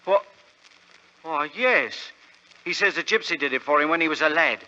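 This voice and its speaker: An actor playing an earnest, thrifty English shopkeeper who talks haltingly and deferentially, in 1940s radio drama style. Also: male voice, fairly high pitch, expressive, bright, forceful tone. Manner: halting, deferential, wordy storytelling. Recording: dull, reverberant vintage radio recording.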